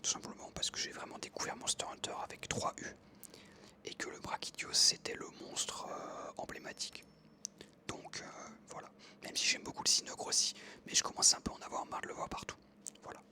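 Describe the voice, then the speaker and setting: Soft whispering ASMR gamer. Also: a little fast. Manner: soft whisper, casual and rambling with many fillers. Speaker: ASMR creator. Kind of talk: whispered ASMR chat over gameplay.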